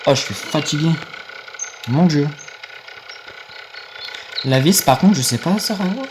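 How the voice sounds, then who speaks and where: A man's voice: on the high side, lively intonation, measured pace, noisy background. A young man, rambling casually to viewers while playing a game on his phone. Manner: rambling, easily annoyed, talks to viewers. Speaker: gaming video creator. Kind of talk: casual gameplay commentary.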